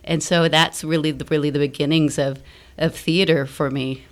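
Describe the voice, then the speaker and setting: Low-voiced American woman, reflective interview guest. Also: steady tone, normal speed. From Fresno, California, United States. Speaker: guest, theater director and teacher. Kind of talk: conversational interview answers. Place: radio studio.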